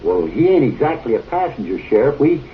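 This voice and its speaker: A man's voice: medium pitch, very animated, fairly quick, slight background noise, lightly acted. A man playing a folksy, hesitant stagecoach driver with a drawling manner, on muffled old film audio with music.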